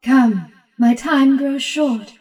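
An actress playing a composed, commanding spirit guide with a measured manner in an audio play. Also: female voice, sits high, a little mellow.

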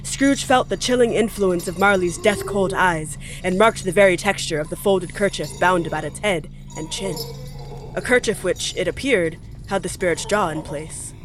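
A woman playing a wry, theatrical storyteller, narrating an audio play with deliberate emphasis. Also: on the low side, faint music behind, natural voice, low-quality recording. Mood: wry, suspenseful.

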